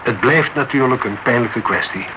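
A noisy radio drama recording carries a man acting as a proud middle-aged male client. He is guarded and halting, reluctant to give anything away. His medium-pitched voice is curt and quick to take offence. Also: natural voice. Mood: embarrassed, reluctant. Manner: guarded, halting, curt, indignant when pressed. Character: proud, embarrassed husband, guarded, easily indignant male client. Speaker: actor in a radio play.

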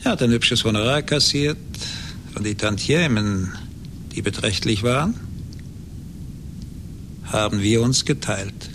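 An actor playing a cool, smug schemer in a German radio play, measured and self-assured. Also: male voice. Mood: cool, gloating. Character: cool, smug, scheming man who ruined a former friend. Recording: noisy, rumbling 1980s radio play recording.

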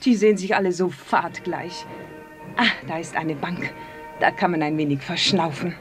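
A woman's voice: lowish voice, moderate pace, soft background music, lightly acted. An actress playing a plain-spoken, wary young woman in a radio play. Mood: chatty, candid. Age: young adult. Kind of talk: natural radio play dialogue.

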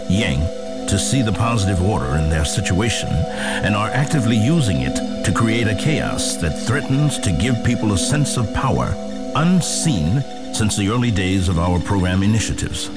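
Cold conspirator, fairly low, animated intonation, formal briefing, very loud music. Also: male voice. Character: cold male conspirator reporting on a lost battle.

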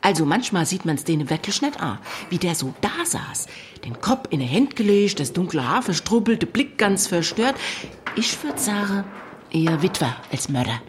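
An actress playing a chatty, loyal police secretary in a radio crime drama, gossipy and confiding in manner. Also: female voice, lowish voice, very animated, moderate pace, a little hiss or hum.

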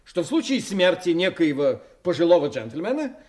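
A man's voice: sits high, sing-song, moderate pace, darkish tone. A male actor playing a self-assured, accusing police inspector in a radio play, with an emphatic, declamatory delivery. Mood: confident, expounding. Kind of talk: Russian radio play acting.

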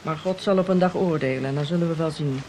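A man's voice: a little high, fast, darkish tone, faint music behind, lightly acted, poor audio. A man playing a gossipy, pious country innkeeper in a radio play, with a folksy, insinuating way of talking. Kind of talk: radio drama acting. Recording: radio play with clear background noise.

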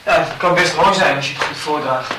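A man's voice: on the high side, a little fast, noisy background, natural voice. A man playing a lovestruck student in a radio play, speaking tersely and probing. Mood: guarded, curious. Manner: terse, questioning. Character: lovestruck male student, probing with short questions. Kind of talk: conversational radio drama acting.

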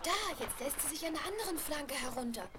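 A woman's voice: high-pitched, lively intonation, fast, heavy background noise. A woman playing an admiring, wide-eyed young girl in a radio play. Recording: 1970s radio play, loud music behind.

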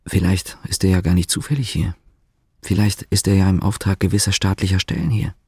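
A man's voice: sits low, little pitch movement, brisk, darkish tone, husky, dry recording, clean studio sound, heavily acted. An actor in a radio drama playing an earnest, uneasy East German policeman, hesitant and sincere in his questioning manner. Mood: suspicious.

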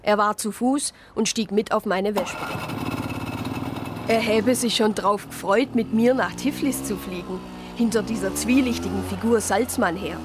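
A woman's voice: mid-range voice, normal speed, noisy background, lightly acted. An actress playing an earnest, caring church sister with a Swabian accent, telling her story plainly and reflectively in a radio drama. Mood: reflective, wistful. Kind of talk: radio drama first-person narration and dialogue.

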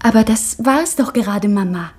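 Fernanda, a high breathy schoolgirl. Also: darkish tone. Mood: confiding. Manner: intense, confiding, halting with emotion. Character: young convent schoolgirl, anxious and earnest. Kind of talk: 1960s radio drama acting.

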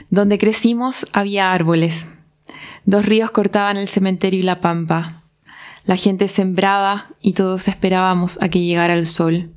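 A woman from southern Chile with a Chilean accent, speaking thoughtfully and reading her own poem aloud in a writing workshop. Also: medium pitch. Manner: thoughtful, unhurried, with pauses. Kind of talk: reflective talk and poetry reading.

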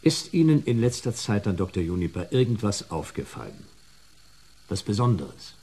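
A man's voice: lowish voice, big pitch swings, moderate pace, mellow, husky, full character voice. A man playing a blunt, impatient private detective in a radio drama, firing short exasperated questions. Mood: probing, blunt.

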